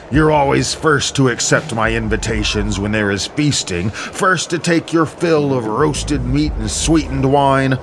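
A man's voice: mid-range voice, background noise, voice pushed up. A man playing a haughty, commanding Greek warlord king in an epic audio drama, with declamatory, rhetorical delivery.

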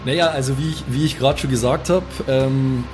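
A man's voice: medium pitch, moderate pace, over music. A man casually improvising his moves as a tabletop player, thinking aloud with some hesitation. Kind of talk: casual improvised game talk.

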